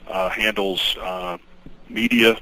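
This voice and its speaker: A man speaking as a company executive, answering questions on an investor conference call in a measured, formal, guarded way. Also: low voice, fairly even intonation, bright, forceful tone. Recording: noisy conference call recording.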